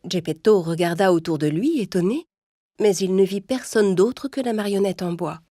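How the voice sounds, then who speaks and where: A woman narrating a cartoon fairy tale in a calm, even storytelling voice. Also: mid-range voice, normal speed. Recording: music playing underneath.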